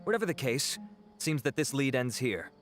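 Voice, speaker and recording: A man's voice: mid-range voice, very animated, fairly quick, lightly acted. An actor playing a dutiful male investigating officer in a video game, formal, earnest and businesslike. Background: faint music.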